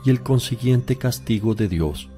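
An actor playing a grave, solemn male teacher who preaches deliberately in a radio drama. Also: medium pitch, warm tone, soft background music, close to an everyday voice. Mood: solemn, reproving.

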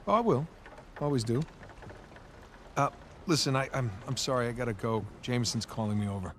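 An actor playing an easygoing, evasive American treasure hunter in a video game, speaking casually. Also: male voice, medium pitch, fairly quick, natural voice. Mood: evasive, reassuring. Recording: game audio over engine and ambient noise.

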